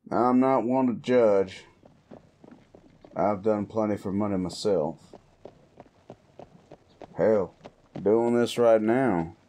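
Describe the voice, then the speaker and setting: Man playing a slow, gruff cowboy bounty hunter. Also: fairly low pitch, dark tone. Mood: resigned, wry. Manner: folksy drawling, threatening yet wry. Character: gruff, world-weary male Western bounty hunter cowboy. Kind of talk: improvised Western audio roleplay. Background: guitar music.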